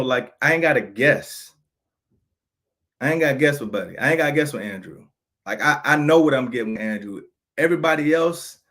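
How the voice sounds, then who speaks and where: A man's voice: mid-range voice, fairly bright tone. A man in his thirties giving an unscripted personal monologue, frank and aggrieved, often restarting and repeating phrases. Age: 30s. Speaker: vlogger.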